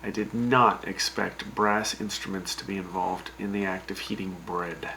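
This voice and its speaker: A wry radio host, played by a performer, speaks in a gravelly, bright voice. The host teases the cohosts in a dry way. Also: low voice, little pitch movement, moderate pace, a little hiss or hum, low-quality recording. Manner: wry, teasing. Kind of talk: fan radio drama.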